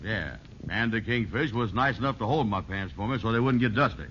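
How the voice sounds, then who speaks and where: A slow-witted, gullible blusterer from a vintage radio comedy, played by a man. His voice is on the low side but forceful. The dated recording is dull and noisy. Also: natural voice. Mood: naive, explaining. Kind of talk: old-time radio sitcom acting.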